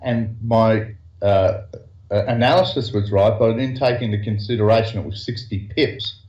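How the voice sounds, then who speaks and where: A man's voice: fairly low pitch, darkish tone. Hesitant man in an echoey room. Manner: hesitant, explaining slowly with restarts. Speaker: guest trader. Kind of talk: casual trading chat. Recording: echoey, dull lo-fi sound.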